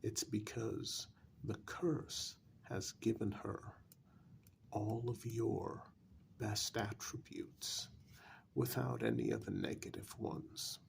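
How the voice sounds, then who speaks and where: A man playing a calm, patient castle confidant in a soft-spoken ASMR fantasy role-play, measured and breathy with long pauses. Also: lowish voice, a little hiss or hum, full character voice. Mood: grave, explanatory. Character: calm, apologetic male confidant explaining a vampire curse in an old castle.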